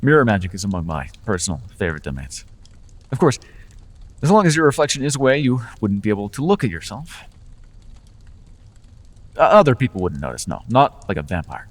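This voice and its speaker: A man's voice: fairly low pitch, sing-song, fairly quick, pauses often, big-room sound. A man voicing a charming, persuasive male Fae who makes deals, with playful, coaxing salesman patter in an ASMR roleplay.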